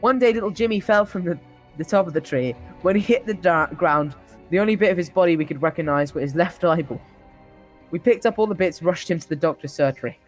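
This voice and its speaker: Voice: medium pitch, brisk, faint music behind, rough recording. A person reading a comic tall tale as an old-timer recalling a harsh childhood, often stumbling and breaking into laughter.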